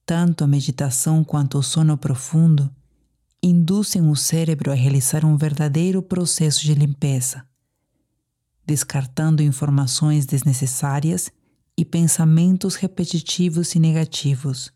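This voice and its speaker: A woman with a Brazilian accent leading a guided sleep meditation, soothing and deliberate, leaving pauses between phrases. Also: sits low, fairly even intonation, dark tone.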